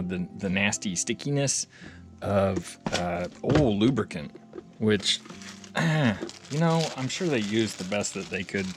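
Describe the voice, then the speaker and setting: Low-pitched man talking through a repair. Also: creaky. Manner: rambling, folksy, thinking aloud. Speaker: audio repair vlogger. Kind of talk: casual hands-on repair commentary.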